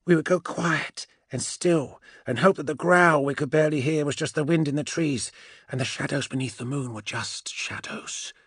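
A man's voice: on the high side, moderate pace, fairly bright tone, slightly rough, no echo, studio quality, pitched above the performer's own voice. A man voicing a cool, mocking gene witch woman in a science fiction audiobook, speaking in a wry, portentous and self-assured manner. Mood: solemn, reflective. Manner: wry, portentous, sure of herself.

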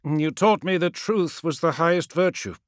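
Man playing loyal young-spirited warrior son, earnest pleading, deferential, urgent, raised pitch, dry close audiobook sound. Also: normal speed, no echo, close to an everyday voice. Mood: urgent insistence. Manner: urgent, earnest, deferential, projecting over a storm.

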